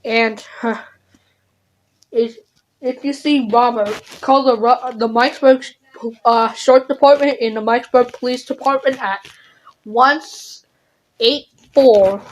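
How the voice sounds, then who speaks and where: Slow, high-voiced announcer, female. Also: rough recording. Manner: halting, deliberate delivery with stumbles. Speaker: announcer of a mock emergency alert. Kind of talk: improvised mock emergency alert announcement.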